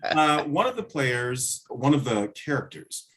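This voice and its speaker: A man with a medium-pitched voice talks as a director being interviewed. His phrasing is expressive and emphatic, in a conversational style. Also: a little slow, rough recording.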